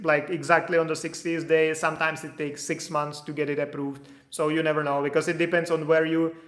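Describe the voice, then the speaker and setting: A man answering viewer questions on immigration during a live stream, in a casual, hedging manner. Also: fairly high pitch. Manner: casual, hedging, reads questions aloud then explains. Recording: echoey room.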